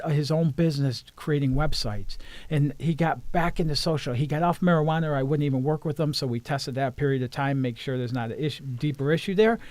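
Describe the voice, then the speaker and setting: An earnest man talking as a life coach in an interview, his voice sitting a little higher than most men's. He often restarts his sentences. The sound is close and dry, with no room echo. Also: a little mellow. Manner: earnest, repeats words when starting sentences. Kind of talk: casual interview conversation.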